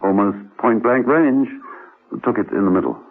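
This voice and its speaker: An actor playing an urbane, unflappable British gentleman agent in a radio drama, jaunty, glib and politely pushy. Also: fairly low pitch, normal speed, husky. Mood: grave, persuasive. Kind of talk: classic radio drama acting. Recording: narrow-band old radio recording.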